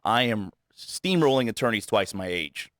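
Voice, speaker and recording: A man being interviewed, talking candidly in halting bursts, often restarting and repeating words, earnest and self-critical. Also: on the low side, lively intonation, fairly bright tone, dry recording, clean studio sound. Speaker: guest, realtor.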